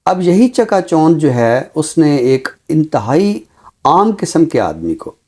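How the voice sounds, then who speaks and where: A man speaking as a teacher, explaining his views deliberately with emphatic repetition. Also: fairly high pitch, sing-song, a little mellow. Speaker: Urdu teacher, programme guest. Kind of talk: considered discussion, explanatory.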